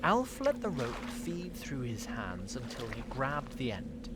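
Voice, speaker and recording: A man narrating a scripted fantasy audio drama in a measured storytelling manner. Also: mid-range voice, wildly swooping pitch, loud music behind the voice.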